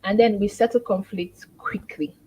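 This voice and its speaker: A woman's voice: mid-range voice, steady tone, normal speed, muffled recording, slight background noise. A woman guest on a talk show who describes her own voice as tiny and speaks candidly and expressively about her marriage. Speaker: guest, wife. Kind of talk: interview chat.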